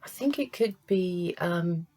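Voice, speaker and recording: A woman reads cards as a psychic medium. She speaks unhurriedly, with a slightly low voice. She pauses often, as if searching for each impression. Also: lively intonation, a little mellow. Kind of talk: monthly astrology and card reading to camera.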